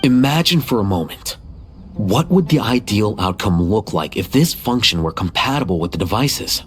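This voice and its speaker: A man's voice: lowish voice, expressive, moderate pace, faint music behind, lightly acted. A man voicing a cool, terse, calculating young man in a video game, composed and dry. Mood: composed, persuasive.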